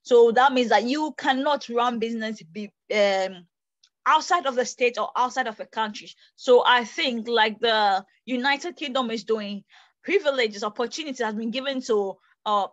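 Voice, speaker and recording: A woman's voice: a little high, moderate pace, dry recording, muffled recording. A young woman from Ghana hosting an online panel, speaking earnestly from a small room and restating her points as she goes. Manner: animated, gesturing, restates and self-corrects as she goes. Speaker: host of an online panel discussion. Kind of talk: earnest debate remarks. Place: small bedroom indoors. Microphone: tablet camera on a video call.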